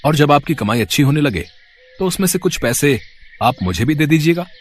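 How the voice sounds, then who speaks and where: A man voicing a smooth-talking, two-faced village landowner in an acted storybook tale. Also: fairly high pitch, big pitch swings, brisk, voice pushed down. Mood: affable, generous.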